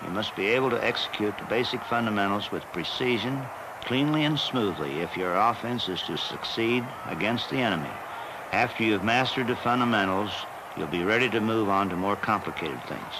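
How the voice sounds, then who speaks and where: A man narrating a football training film as the team's coach, in a measured and plain instructional manner. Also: on the low side, animated, background noise.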